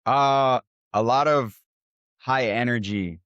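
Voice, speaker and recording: A young man from South Texas, a DJ guest in a barbershop, talking in a relaxed, rambling way full of "like" and "bro". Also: medium pitch, slow, clean tone, no room sound, dull audio. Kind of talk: casual chat.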